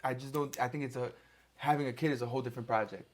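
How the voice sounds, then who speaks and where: A man's voice: mid-range voice, fairly even intonation, rapid-fire. A man hosting a podcast in casual conversation, easygoing, often repeating himself and adding short backchannels. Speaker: podcast host.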